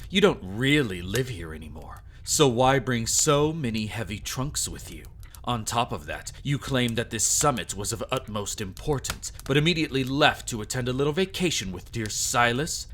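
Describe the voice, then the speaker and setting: A smug aristocratic vampire son, played by a man in an audio drama. He speaks at a middling pitch with pompous, mocking formality, drawing out his words. There is noise behind the voice. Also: a bit bright, close to an everyday voice. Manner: drawn-out, sardonic, pompous formal diction. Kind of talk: scripted audio drama acting.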